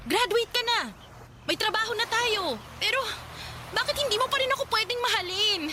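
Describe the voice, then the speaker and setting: A woman's voice: extremely high pitch, normal speed, background noise. A woman acting a lovesick, jealous young woman in a Filipino radio drama, with a Filipino accent and an emotional, insistent delivery. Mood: hurt, pleading. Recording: music bed behind dialogue.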